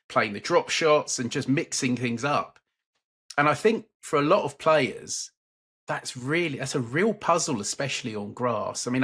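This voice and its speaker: A man hosting a sports betting podcast, talking in a brisk, chatty way. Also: medium pitch, sharp tone. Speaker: podcast host. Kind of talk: casual podcast chat.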